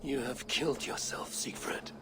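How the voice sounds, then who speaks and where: An actor playing an honourable, steadfast Viking raider in a video game cutscene, measured and earnest. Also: male voice, mid-range voice, noisy background, close to an everyday voice. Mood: grim.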